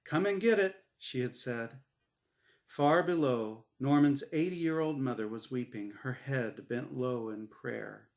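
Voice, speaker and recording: A man reading his own story aloud as a dramatic, humorous narration, deliberate and expressive. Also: medium pitch, vocal fry.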